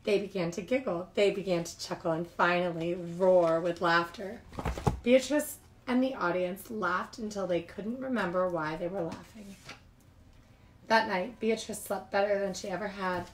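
A woman reading picture books aloud to young children like a childcare teacher, expressive and informal. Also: fairly low pitch, normal speed, a little hiss or hum, rough recording.

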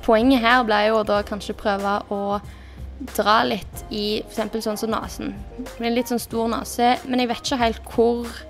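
A young woman with a slightly high voice chats through a gameplay session, thinking aloud as she makes her choices. She speaks into a desk mic in her bedroom, with soft music playing underneath. The delivery is relaxed and conversational. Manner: chatty, thinking aloud while clicking through options. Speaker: gaming YouTuber. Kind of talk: casual gameplay commentary.

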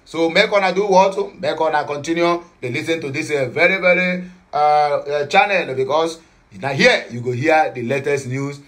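A man's voice: a little high, moderate pace, a bit bright. A man with a Nigerian accent hosting a casual news commentary from a home studio, playful and mixing in Pidgin and Igbo. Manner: playful, switches between English, Pidgin and Igbo.

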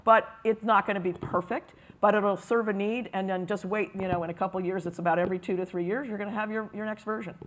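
A woman speaking on a conference panel, explaining in a halting way with frequent restarts. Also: mid-range voice, fairly even intonation. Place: conference room.

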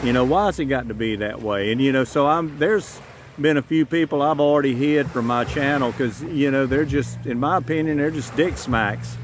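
A man's voice: mid-range voice, moderate pace. A man in his fifties with a Southern US accent, vlogging to a phone on a beach in a blunt, profane, rambling way, full of "you know"s. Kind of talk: casual vlog monologue. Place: outdoors on a tropical beach. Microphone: phone camera at arm's length. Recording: outdoor phone audio with clear ambient noise.

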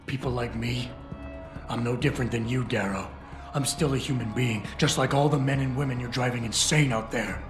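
A man's voice: medium pitch, moderate pace, natural voice. Man playing stern game hero over music. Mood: accusing. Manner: terse, intense, accusing. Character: stern, indignant male action hero confronting a villain. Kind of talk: video game cutscene acting. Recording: roomy game audio with clear music.

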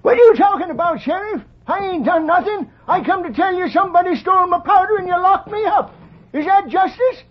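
High-pitched crusty old prospector. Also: male voice, fairly quick. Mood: indignant. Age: older. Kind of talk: old-time radio western acting. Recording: dull vintage radio broadcast recording.

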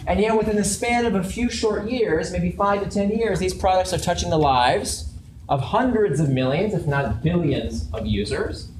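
A man with a fairly high voice speaks to a seated crowd, talking easily and tossing questions out to the audience. He is recorded from across a roomy, echoey space with steady noise in the background. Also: moderate pace. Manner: conversational, asks the audience questions. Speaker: guest speaker. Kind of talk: conference talk to a live audience. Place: large open office event space with audience.

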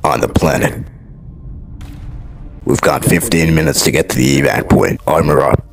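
Man acting as stoic super-soldier commander, extremely low pitch, gravelly fry, clipped military orders, sci-fi film dub over music. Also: fairly even intonation, normal speed. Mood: stoic, commanding. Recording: loud music behind the voice.

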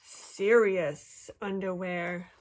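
A woman in her thirties, a teacher reading a picture book aloud to children with animated, exaggerated delivery and drawn-out words. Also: on the low side, a little hiss or hum. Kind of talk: expressive children's storybook read-aloud. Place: small indoor room with classroom displays.

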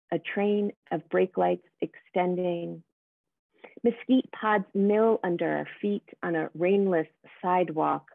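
A middle-aged woman reading poetry in measured, deliberate phrases on a video call. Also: lowish voice, vocal fry, no room sound. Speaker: poet. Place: living room at home, indoors. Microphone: computer mic on video call. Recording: phone-like narrow video-call sound.

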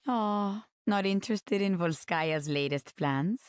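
A woman's voice: mid-range voice, takes it slowly, smooth, lightly acted. An actress voicing a sly, teasing female hacker in a video game, coy and taunting.

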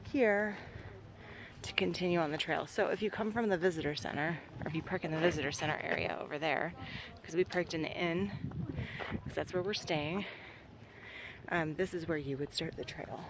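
Chatty woman vlogging on a hiking trail. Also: on the low side. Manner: chatty, pointing things out, filler-heavy. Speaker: vlogger hiking on her honeymoon. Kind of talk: casual trail narration. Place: outdoors on a boardwalk trail. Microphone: camera held at arm's length. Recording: outdoor camera audio with wind noise.

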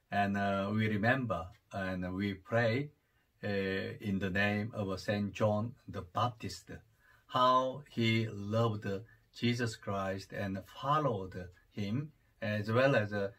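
A priest in his forties with a Korean accent gives a homily to camera in his study. He speaks unhurriedly, pauses often on fillers, and grows more fervent at times. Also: fairly low pitch, fairly bright tone. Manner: unhurried, many hesitations, rising fervor. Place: study with bookshelves, indoors. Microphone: camera at desk distance.

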